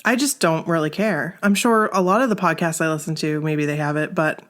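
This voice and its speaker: A woman's voice: low voice, a little fast, fairly bright tone. A woman with a Maine accent co-hosting a podcast in casual chat, nasal and congested from a sore throat, chatty and self-deprecating. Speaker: podcast co-host.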